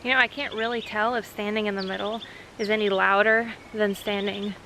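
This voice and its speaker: A woman's voice: medium pitch, fairly even intonation, a little fast, sharp tone, a little hiss or hum, rough recording. A woman reporting and narrating in the style of a travel documentary.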